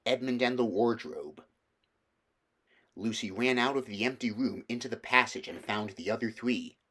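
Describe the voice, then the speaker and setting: A man's voice: mid-range voice, moderate pace, a bit bright. A man reading a children's novel aloud as scripted storybook narration. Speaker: audiobook reader.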